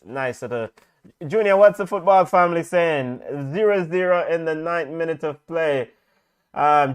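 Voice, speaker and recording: A middle-aged man giving live, halting football commentary mixed with casual chat. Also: medium pitch, clean tone. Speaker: football watch-along commentator.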